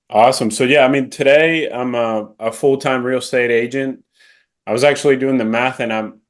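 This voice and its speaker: A man in his thirties from Venezuela with a faint Venezuelan Spanish accent, a podcast guest talking casually and correcting himself as he goes. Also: mid-range voice. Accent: slight Venezuelan Spanish. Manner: rambling, self-correcting, many fillers. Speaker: podcast guest, real estate agent. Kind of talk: casual interview conversation.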